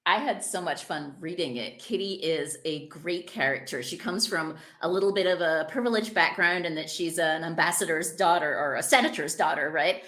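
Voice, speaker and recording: A woman's voice: sits low, moderate pace, hardly any pauses, a bit bright. A woman hosting a casual book interview podcast, speaking with effusive enthusiasm. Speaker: podcast host interviewing an author.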